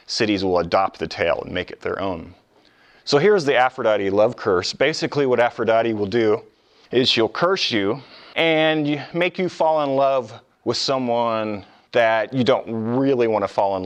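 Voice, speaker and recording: Male professor, lowish voice, conversational and explanatory, informal history lecture with colloquial asides.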